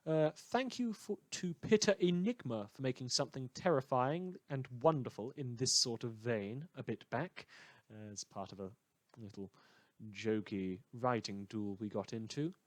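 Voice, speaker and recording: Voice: mid-range voice, normal speed, dry recording. A podcast creator giving informal thanks and credits for a fan production, rambling a little with a hesitant, wry delivery.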